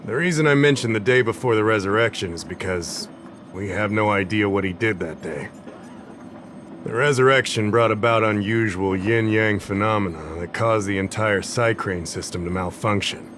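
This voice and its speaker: An actor playing an affable, easygoing investigative official in a video game, conversational and explanatory. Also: male voice, fairly low pitch, moderate pace, a bit bright, a little hiss or hum, close to an everyday voice. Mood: explaining. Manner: conversational, slightly absent-minded, explanatory. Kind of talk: video game character acting.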